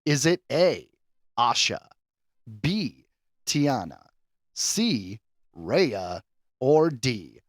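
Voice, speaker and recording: A man's voice: mid-range voice, sing-song, drawn-out words, long pauses, no echo. Guy hosting a trivia podcast, voice swoops around a lot, kinda showy, chatty, dead dry room. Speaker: podcast host and quizmaster. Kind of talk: hosting a pop culture quiz, casual chat.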